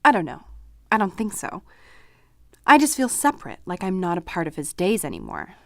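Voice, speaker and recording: Unsure apologetic wife talking to her therapist, hesitant, lowish voice, radio play. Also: big pitch swings, moderate pace, vocal fry, fairly bright tone, no room sound, heavily acted. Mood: anxious, confiding.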